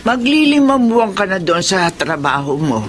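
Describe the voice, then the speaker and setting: A woman's voice: medium pitch, sing-song, moderate pace, music in the background, natural voice. A woman with a Filipino accent playing a fretful, caring older mother of grown sons in a radio drama. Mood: concerned, motherly. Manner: pleading, motherly, concerned.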